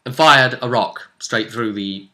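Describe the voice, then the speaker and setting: A British man chatting casually as a game master, retelling a tabletop session in an animated way and acting out what characters said. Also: mid-range voice, measured pace. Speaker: tabletop role-play game master and co-host.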